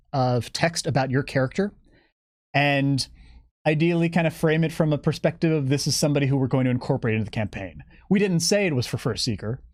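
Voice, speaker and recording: Male guest, average pitch, explanatory stream talk, thorough and orderly delivery, very dry recording. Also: no echo. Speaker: guest game developer giving campaign updates.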